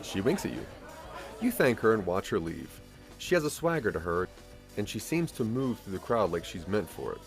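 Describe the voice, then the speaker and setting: Animated low-voiced male narrator over music. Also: normal speed, a faint crowd. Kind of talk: scripted second-person story narration.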